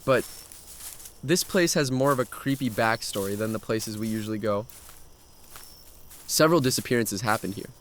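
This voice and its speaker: A man's voice: lowish voice, normal speed, long pauses, a little hiss or hum, natural voice. A man playing an adventurous, thrill-seeking young man who tells his story in a horror audio drama. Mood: reflective, ominous.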